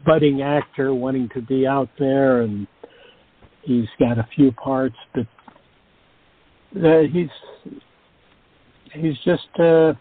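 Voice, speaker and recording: A man chatting casually as an interview guest, halting and searching for his words. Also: medium pitch, dry recording. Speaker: guest, amateur radio play actor. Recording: dry, tinny phone line.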